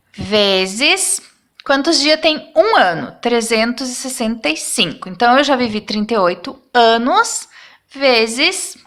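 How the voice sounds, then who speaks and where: Teacher lady in her 30s, high voice, slow and patient, keeps checking in with the class. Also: bright, forceful tone. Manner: patient, checks in with "tá?" after each step. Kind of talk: step-by-step math lesson.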